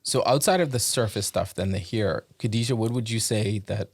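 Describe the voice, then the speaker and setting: A man's voice: fairly low pitch, normal speed, breathy edge, no room sound. A man from the Bahamas hosting a casual podcast chat, asking careful, hedged questions and telling stories with laughs. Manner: thoughtful, hedging questions, laughs through stories. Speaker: podcast host.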